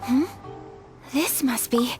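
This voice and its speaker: A woman's voice: sits high, slow pace, loud music behind the voice. A woman voicing a refined, dutiful young noblewoman in a video game, speaking in a formal, courteous manner. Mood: gracious. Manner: formal, courteous, softly proper. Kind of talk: video game character acting.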